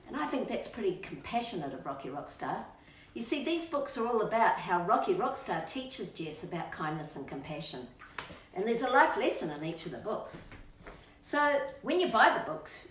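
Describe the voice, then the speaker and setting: Storytime presenter: Australian woman, middle-aged, very lively pitch, playful and coaxing, recorded at a distance in a roomy space. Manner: playful, coaxing, sing-song questions. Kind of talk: chatty children's storytime, talking to kids and her dog. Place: indoors at home, on a couch. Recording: roomy echo, distant microphone.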